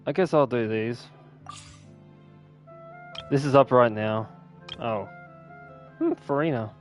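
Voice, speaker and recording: Male gaming streamer, mid-range voice, unhurried casual commentary, muttering to himself over faint music. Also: mid-range voice. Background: game music.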